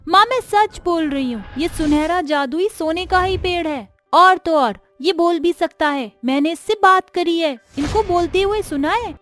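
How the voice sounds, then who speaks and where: Woman as a very high-pitched, eager little girl. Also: measured pace, hardly any pauses, a bit bright, faint music behind. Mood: insistent. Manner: insistent, earnest. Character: eager, earnest young village daughter, a little girl.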